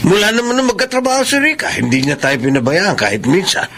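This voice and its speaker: Hesitant father, fairly high male voice, swinging intonation, radio drama, noisy recording. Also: sing-song, quick pace, pushed and bright, heavily acted. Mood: doubtful, uneasy. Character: hesitant, conscientious father of a grown daughter. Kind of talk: Filipino radio drama acting.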